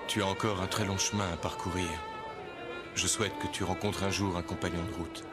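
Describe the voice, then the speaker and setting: Male actor, low voice, gentle protective companion, animated drama, soothing measured delivery, loud string music behind. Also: close to an everyday voice. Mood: tender, consoling. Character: gentle, protective, brotherly male traveller consoling a girl. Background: bowed string music.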